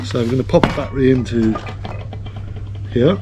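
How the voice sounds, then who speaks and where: A man's voice: mid-range voice, moderate pace, noisy background. A man giving a casual, informal unboxing commentary in an off-the-cuff way. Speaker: video host reviewing a product.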